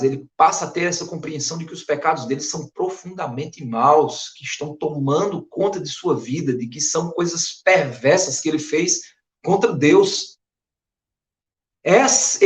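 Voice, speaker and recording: A man preaching an earnest, emphatic Bible sermon in a Brazilian Northeastern accent, his voice hoarse from a recent flu. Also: a little high. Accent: Brazilian Portuguese, Northeast. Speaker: pastor. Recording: echoey room.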